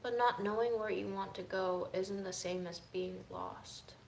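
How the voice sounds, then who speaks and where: A tired woman vlogging casually to camera, musing in a drifting way that moves between soft and emphatic. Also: medium pitch, fairly even intonation, a little slow, vocal fry, echoey room, slight background noise, low-quality recording. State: fatigued. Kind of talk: casual reflective chat to camera.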